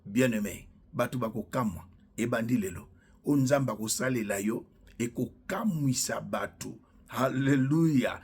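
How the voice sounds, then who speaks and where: Slow husky-voiced preacher. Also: male voice, mid-range voice, darkish tone. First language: Lingala. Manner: fervent, punctuates lines with alleluia; slow, drawn-out declaring delivery with repetitions. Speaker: preacher and self-styled prophet. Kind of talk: prophetic sermon with declarations.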